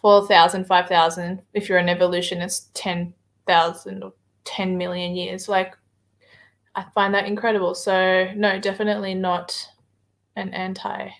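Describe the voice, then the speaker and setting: A woman's voice: mid-range voice, little pitch movement, moderate pace, vocal fry. Hesitant Australian woman chatting on a livestream. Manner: hesitant, many filler words, answers viewer comments. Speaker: livestream guest reading viewer chat. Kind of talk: casual livestream chat.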